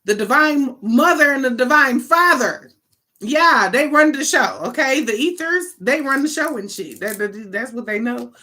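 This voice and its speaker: A woman's voice: high voice, lively intonation, normal speed, bright, forceful tone. An American woman giving an informal, improvised tarot reading as an online host, talking in an emphatic, slangy, conversational way with casual swearing.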